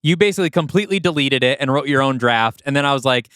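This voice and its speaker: A man's voice: mid-range voice, lively intonation, fast, a bit bright, smooth, dry recording, studio quality. A man co-hosting a podcast, chatting casually about creative work. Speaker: podcast co-host. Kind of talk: casual chat about writing a movie script.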